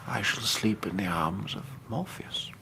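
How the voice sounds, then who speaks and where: A man's voice: on the low side, a little slow, gravelly, pushed and bright, a little hiss or hum, exaggerated voice. A man acting in a British radio drama as a languid, educated young invalid with a flowery way of speaking.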